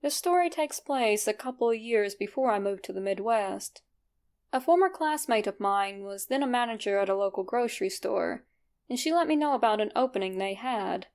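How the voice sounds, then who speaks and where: A woman's voice: mid-range voice, animated, moderate pace, darkish tone. A woman narrating a scripted first-person story in a conversational way, with wryly humorous asides.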